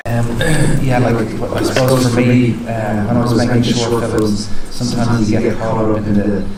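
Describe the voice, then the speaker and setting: A man's voice: mid-range voice, flat delivery, moderate pace, a little mellow. A man giving hesitant, reflective, unscripted advice as a filmmaker. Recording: very echoey room, distant mic, faint noise.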